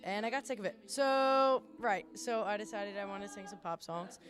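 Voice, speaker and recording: A young woman, a student who directs an a cappella group, answering interview questions in a fairly low voice for a woman. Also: moderate pace. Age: young adult. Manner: lower voice for a woman, relaxed answers. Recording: music playing behind.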